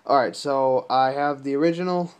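Clear-voiced young man, halting and informal. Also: mid-range voice. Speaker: gaming video creator. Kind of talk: casual vlog, asking viewers for help. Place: small indoor room at a desk.

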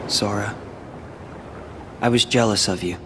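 A man with an American accent playing a brooding, world-weary teenage warrior in a video game, speaking in a measured, reflective way. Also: on the low side, fairly even intonation, noisy background, natural voice. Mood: reflective, confiding. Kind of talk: video game cutscene acting.

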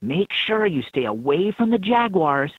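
A man reading scripted instructions for a children's learning game in an expressive, instructive manner. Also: sits high, a little slow, breathy edge, no echo. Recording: thin, phone-like lo-fi game audio.

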